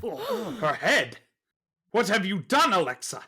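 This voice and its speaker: A man's voice: sits high, huge pitch swings, a little slow, sharp tone, slightly rough, heavily acted. An actor playing a hot-tempered vampire councilman in an audio drama, indignant and accusatory in dramatic outbursts. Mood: outraged, accusing.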